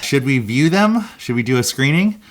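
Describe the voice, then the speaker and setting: A man's voice: medium pitch, expressive, moderate pace. A man talking informally and conversationally as a video creator, explaining things in a casual vlog style.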